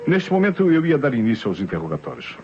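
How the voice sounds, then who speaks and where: A Brazilian actor playing a methodical, suspicious police inspector in a radio drama, speaking formally and deliberately. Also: male voice, mid-range voice, wildly swooping pitch. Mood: measured, probing. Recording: dull old radio recording, music behind.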